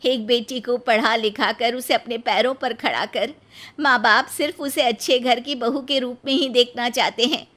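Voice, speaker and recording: A woman's voice: a little high, steady tone, moderate pace, fairly bright tone. A woman voicing a kindly but firm mother-in-law in a moral story, with a motherly, insistent manner. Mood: coaxing. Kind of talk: animated moral-story acting.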